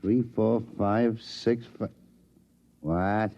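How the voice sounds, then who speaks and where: A man playing a gruff, curt chief inspector in a 1950s radio drama. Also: lowish voice, steady tone, drawn-out words. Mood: startled, demanding. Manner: curt, demanding. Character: sour, gruff male chief inspector, the boss. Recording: dull 1950s radio recording.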